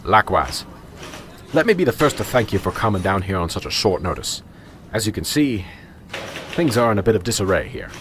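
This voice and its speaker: A man's voice: fairly low pitch, very animated, brisk, pauses often, fairly bright tone, slight background noise. A man acting in a radio drama as a flustered small-town police sergeant, apologetic, hesitant and eager to please. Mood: eager, welcoming.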